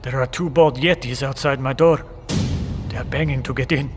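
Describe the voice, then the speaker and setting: An actor playing a wary, stoic watchman in a bunker, speaking deliberately and formally in an audio drama. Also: mid-range voice, steady tone, soft background music, close to an everyday voice. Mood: alarmed. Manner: deliberate, formal phrasing without contractions. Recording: echoey bunker reverb with background noise.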